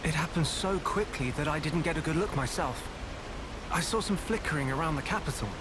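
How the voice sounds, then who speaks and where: An actor playing a calm, practical, reassuring young adventurer in a video game cutscene. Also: male voice, fairly high pitch, fairly quick, natural voice. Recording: hissy, noisy game audio.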